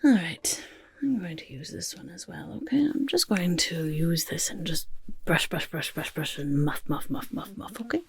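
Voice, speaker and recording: A woman voicing an eccentric, self-important goblin overlord in soft-spoken ASMR roleplay, half whispering in a breathy rasp and fussing with repeated words. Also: low voice, lively intonation, moderate pace, crowd noise. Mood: fussy, pleased. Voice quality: breathy, croaky, raspy whisper. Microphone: close, dry ASMR microphone.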